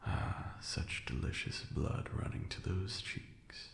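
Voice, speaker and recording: A man voicing a haughty but protective ancient vampire lord in a soft, teasing ASMR roleplay, with formal old-fashioned phrasing and a breathy murmur. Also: slow, roomy sound, slight background noise, lightly acted. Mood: teasing, soothing.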